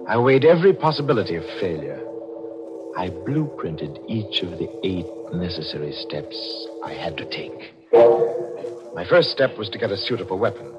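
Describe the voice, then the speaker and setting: An actor playing a cold, calculating newspaperman turned murderer, deliberate and clipped in a 1940s radio thriller. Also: low voice, sing-song, over music. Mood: cold, methodical. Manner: deliberate, clipped, menacing narration and dialogue. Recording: muffled vintage radio broadcast.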